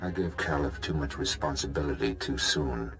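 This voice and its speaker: An actor playing a bitter, vengeful fallen Jedi master, speaking with cold menace in a game cutscene. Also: male voice, extremely low pitch, normal speed. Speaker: villain in a video game cutscene. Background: game score music.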